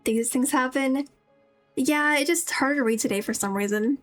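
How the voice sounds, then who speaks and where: Chatty high-pitched woman streaming a game. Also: moderate pace. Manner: chatty, rambling to viewers. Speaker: livestreamer playing a video game. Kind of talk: casual game stream commentary. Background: game music.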